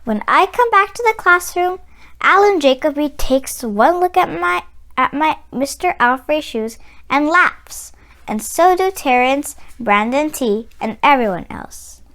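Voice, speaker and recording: High, lively woman reading a children's story. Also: a little slow. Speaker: children's read-aloud channel host. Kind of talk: slow, expressive picture-book read-aloud for children.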